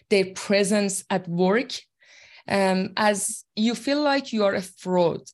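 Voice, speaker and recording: A woman from Iran whose first language is Farsi, a tech team leader speaking as an interview guest, explaining earnestly from her own experience with many fillers. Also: medium pitch. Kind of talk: interview conversation.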